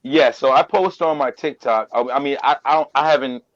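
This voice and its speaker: A man's voice: mid-range voice, a little fast, muffled recording. A man guesting on a podcast as a voiceover artist, talking earnestly and repeating phrases for emphasis. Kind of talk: casual interview talk.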